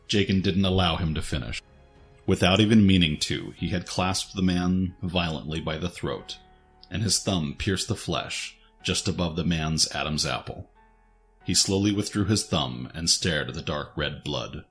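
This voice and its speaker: Deep-voiced man narrating dramatic fiction. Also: moderate pace, a bit bright, slightly rough. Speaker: story narrator. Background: faint background music.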